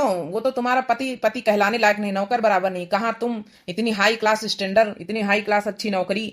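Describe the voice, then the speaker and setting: A woman voicing a flattering, pushy young male schoolteacher in a cartoon comedy, coaxing his lover. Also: medium pitch, lightly acted. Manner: coaxing, smooth-talking.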